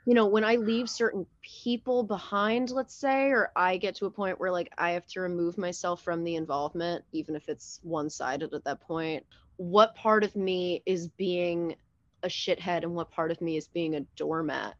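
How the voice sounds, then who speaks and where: Lively young woman, dry close sound. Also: on the low side, dry recording, highs cut off. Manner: animated, profane, quick jokes with filler "like". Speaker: podcast guest. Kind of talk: casual chat.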